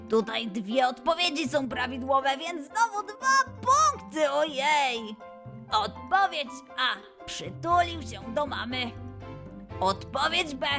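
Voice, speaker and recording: Woman, high voice, slow pace, playful children's quiz host, encouraging and exclamatory, music behind. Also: a bit bright. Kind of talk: lively scripted quiz presenting for children.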